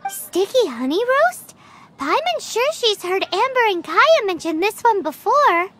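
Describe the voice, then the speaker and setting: A woman voicing a tiny, excitable childlike fairy companion in a video game, squeaky, cutesy and chatty. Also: a little slow, background noise, exaggerated voice. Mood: surprised, curious. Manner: cutesy, refers to self by name. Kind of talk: scripted video game dialogue.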